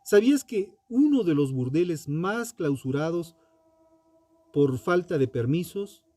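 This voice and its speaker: Slow, dark-toned male true crime narrator. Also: on the high side, animated, dry recording. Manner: solemn, deliberate, ominous rhetorical delivery. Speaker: true crime podcast host. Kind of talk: dramatic scripted narration.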